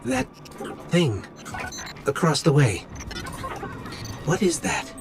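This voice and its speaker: Worried lil robot buddy guy, expressive n swingy, video game w loud music. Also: fairly high pitch, slow pace, exaggerated voice. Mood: alarmed, uneasy. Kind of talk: dramatic video game acting.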